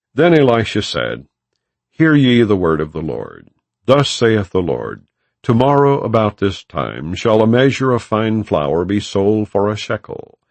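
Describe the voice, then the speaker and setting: A man narrating an audiobook of scripture in a solemn, measured, formal, declamatory way. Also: low-pitched, darkish tone, no echo.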